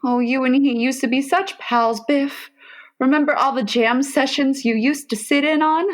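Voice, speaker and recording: A woman's voice: high voice, steady tone, normal speed, lightly acted. A woman playing a fretful, long-suffering mother of grown sons in a comedic radio play, exasperated and melodramatic. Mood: wistful, concerned.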